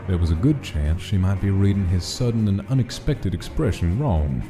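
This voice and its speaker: A man reading scripted story narration as the narrator, with soft music behind him. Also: low-pitched, animated, moderate pace.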